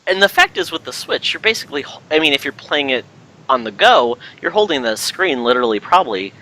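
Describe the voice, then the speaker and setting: A man hosting a podcast with casual, jokey banter, quick to be amused. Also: a little high, expressive, sharp tone, poor audio. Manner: jokey, laughs easily. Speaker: podcast host.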